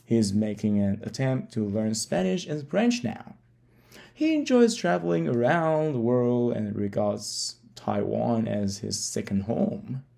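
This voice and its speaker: A man hosting a podcast interview with halting delivery, often stumbling over and repeating words, in a gravelly voice. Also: medium pitch, expressive, warm tone. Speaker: podcast host. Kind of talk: interview, reading a guest introduction then asking questions.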